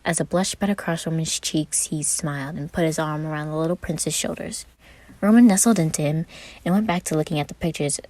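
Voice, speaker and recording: A woman narrates a piece of fiction in a low-pitched voice. Her reading is scripted and expressive. The sound is dry, with very little room on it. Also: brisk, no room sound, rough recording. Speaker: podfic narrator.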